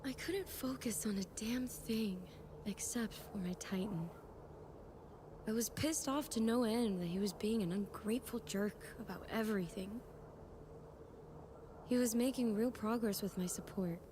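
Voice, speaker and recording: A woman's voice: medium pitch, measured pace, lightly acted. Lady acting proud impatient warlock girl narrating audio drama, mid voice, noisy background. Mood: frustrated, indignant. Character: frustrated, headstrong young female warlock, proud and impatient. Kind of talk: fan audio drama first-person narration.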